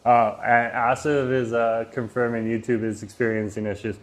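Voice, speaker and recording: A man's voice: little pitch movement, normal speed. A man casually chatting on a live stream from a Vietnamese restaurant, talking easily, reading chat messages aloud and laughing often. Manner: says he has a loud voice and lowers it. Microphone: phone.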